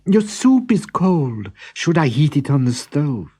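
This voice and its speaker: A man's voice: on the high side, big pitch swings, unhurried, mellow, husky, voice pushed up, exaggerated voice. A man voicing a concerned, fussing wife and mother in a storybook, full of solicitous questions. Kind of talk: storybook character acting.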